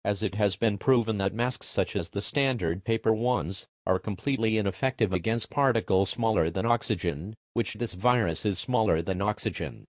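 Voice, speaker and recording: A man's voice: fairly low pitch, no echo, clean studio sound. A man narrating a scripted emergency broadcast in a formal, impersonal announcer manner.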